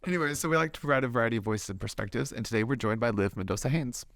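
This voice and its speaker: Bright-voiced male podcast co-host. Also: medium pitch, racing. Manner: laid-back, giggly. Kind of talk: casual podcast banter.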